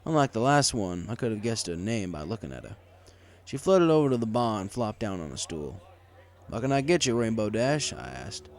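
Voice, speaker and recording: Low-voiced wry male storyteller. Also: big pitch swings, fairly quick. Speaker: story reader. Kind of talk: first-person scripted fan fiction narration.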